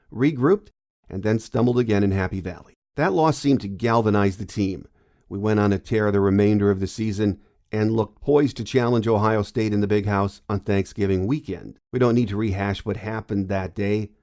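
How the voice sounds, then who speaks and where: A man's voice: lowish voice, darkish tone. A man hosting a podcast, giving scripted sports commentary while congested with a cold. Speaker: podcast host.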